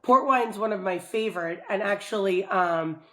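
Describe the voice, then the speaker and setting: A woman hosts a podcast interview. She talks in an enthusiastic, chatty way and keeps things friendly and lively in her words. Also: medium pitch, normal speed. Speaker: podcast host. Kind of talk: casual interview chat.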